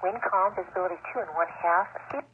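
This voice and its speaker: An air traffic controller reading clearances in crisp, clipped radio phraseology. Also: medium pitch, fast. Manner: crisp clipped procedural delivery. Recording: tinny radio transmission with hiss.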